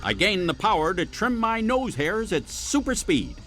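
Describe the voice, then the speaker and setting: A man's voice: high voice, big pitch swings, normal speed, fairly bright tone, faint music behind. A man voicing a grumpy, aging male superhero sidekick in a cartoon game, cranky and dismissive. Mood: sheepish, dismissive.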